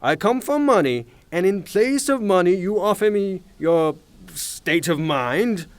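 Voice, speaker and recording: A man acts an irascible middle-aged landowner and retired officer for a theatrical radio play. His voice sits on the high side and moves in very lively swings, emphatic and blustering. The sound is close and dry. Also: unhurried, clear, resonant tone, dry recording. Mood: exasperated. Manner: emphatic, formal address breaking into exasperated outbursts. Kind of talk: theatrical audio drama acting.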